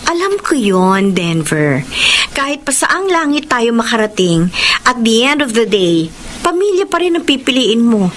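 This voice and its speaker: Brazen mistress, animated high voice. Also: female voice, measured pace, a little hiss or hum. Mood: knowing, resigned. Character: brazen, teasing mistress of a married man. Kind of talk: Filipino radio drama acting.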